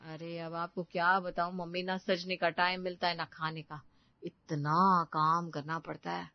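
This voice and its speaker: A woman's voice: lowish voice, little pitch movement, measured pace, no echo, muffled recording, pitched below the performer's own voice. A woman voicing a young daughter-in-law, martyred and self-important, grumbling with sarcasm in a family story. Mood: self-pitying. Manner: sarcastic, put-upon grumbling. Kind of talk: Hindi family story acting.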